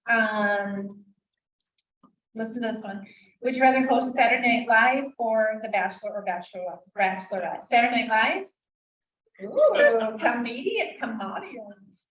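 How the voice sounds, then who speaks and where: An upbeat woman hosts a live event and fires quick questions at her guests. Her voice sits on the higher side. She is heard from a distance in a roomy space. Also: a bit bright. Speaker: host of an author event. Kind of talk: casual live event moderating.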